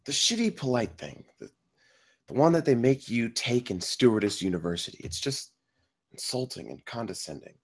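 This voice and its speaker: A man acting an injured, trapped man in a film script table read, wry and blunt, speaking haltingly through pain. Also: medium pitch, big pitch swings, husky. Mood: irritated. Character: injured trapped man, sardonic, shivering, stubbornly protective.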